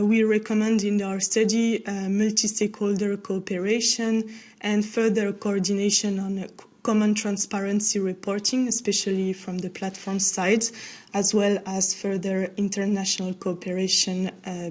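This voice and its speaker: A woman in a mid range voice presents study findings to a parliamentary committee. Her delivery is steady and formal, with hesitant fillers between phrases. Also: medium pitch.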